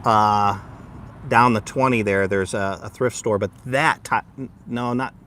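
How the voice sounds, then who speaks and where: A man's voice: lowish voice, fairly even intonation, measured pace, a bit bright, a little hiss or hum. A man co-hosting a casual livestream chat, rambling with lots of ums and uhs and a voice that carries. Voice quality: easily heard, carrying voice. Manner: rambling, many fillers and restarts, easy laughter. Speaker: livestream co-host.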